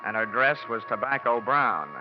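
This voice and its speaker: An actor playing a wisecracking, cynical insurance investigator in a mid-century American radio detective drama. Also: male voice, medium pitch, moderate pace, natural voice. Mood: wry, hardboiled. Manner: wry, cynical, slangy. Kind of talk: 1940s-50s radio detective acting. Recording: dull vintage radio recording, music behind.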